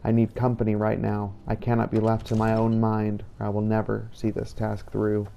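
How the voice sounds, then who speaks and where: A man voicing a brooding vampire hunter who narrates his journal in a solemn, measured way for a fantasy game roleplay. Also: on the low side, monotone, creaky, warm tone, highs cut off, a little hiss or hum. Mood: weary, reflective.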